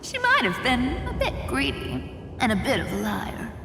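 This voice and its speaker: Over loud music comes a sly young thief girl with a catlike streak. Her delivery swings widely in pitch, playful and teasing with a wry edge. It is dramatic video game character work. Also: wildly swooping pitch, normal speed, creaky, heavily acted.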